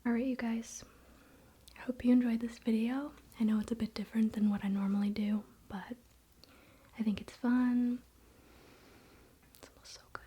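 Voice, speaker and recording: A young woman whispering in a breathy, gentle, casual way as she hosts a spiritual ASMR ritual. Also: on the high side, level tone, normal speed, pauses often. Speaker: ASMR host. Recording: faint background noise, soft clicks.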